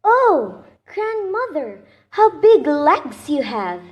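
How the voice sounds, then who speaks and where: A woman's voice: high-pitched, sing-song, takes it slowly, muffled recording, full character voice. A woman acting a sweet, trusting, polite little girl in a children's radio drama. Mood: wondering.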